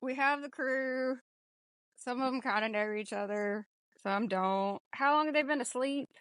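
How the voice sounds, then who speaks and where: Animated-sounding woman podcaster, close dry sound. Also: high-pitched, unhurried, clean tone, no room sound. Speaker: podcast co-host. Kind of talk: casual movie discussion.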